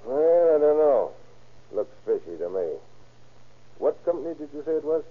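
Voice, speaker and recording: An American actor playing a straightforward, wary but kindly boat captain in an old radio crime drama. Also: male voice, fairly high pitch, very animated, normal speed. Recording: tinny, noisy old radio recording.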